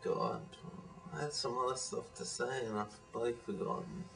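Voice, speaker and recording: A man's voice: mid-range voice, lively intonation, moderate pace, vocal fry, fairly bright tone, soft background music. Rambling Australian man on a distant webcam. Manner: rambling, frank, many fillers and restarts. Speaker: vlogger. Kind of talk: casual webcam video reply. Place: living room at home, indoors. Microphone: webcam at desk distance.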